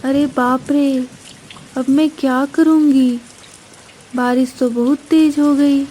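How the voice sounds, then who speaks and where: A woman plays a worried little mother bird in a children's cartoon, very high in pitch and slow in delivery. She sounds plaintive and pleading, with noisy rain behind the voice. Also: fairly even intonation, mellow. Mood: alarmed. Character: anxious, pleading young mother bird, female. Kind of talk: dramatized Hindi children's cartoon story.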